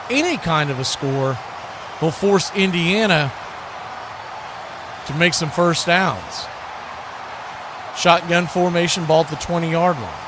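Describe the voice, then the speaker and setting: Higher-voiced American football commentator, noisy stream. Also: male voice. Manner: narrates action in short clipped phrases, repeating words. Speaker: play-by-play sports commentator. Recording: noisy live stream with background noise.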